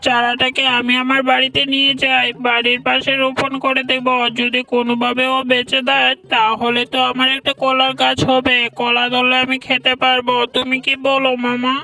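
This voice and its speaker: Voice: high voice, completely flat delivery, normal speed, gravelly, few pauses, bright, forceful tone, heavily acted. A performer voicing a poor, humble male fox labourer in a cartoon, earnest and plaintive, with a woman's-sounding voice. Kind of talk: cartoon voice acting. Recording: distant voice with music underneath.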